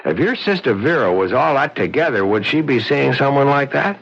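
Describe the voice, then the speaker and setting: Skeptical wisecracking neighbor, seventies radio drama. Raspy, animated, casual delivery; dull broadcast recording. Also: male voice, medium pitch, moderate pace. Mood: wry, probing. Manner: casual, sardonic, streetwise. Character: skeptical, wisecracking city bartender, male neighbor. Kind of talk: 1970s radio drama acting.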